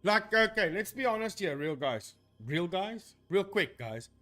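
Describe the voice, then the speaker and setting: Animated man rambling on stream. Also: sits high, a little slow, faint music behind. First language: Afrikaans. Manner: rambling, self-correcting, animated. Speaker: streamer debunking ghost videos. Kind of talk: casual live-stream commentary.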